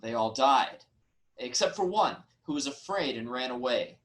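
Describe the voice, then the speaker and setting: A man's voice: medium pitch, animated, moderate pace, fairly bright tone, lightly acted. A man playing an earnest Theban royal messenger in a staged reading of Greek tragedy, emphatic and declarative. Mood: grave.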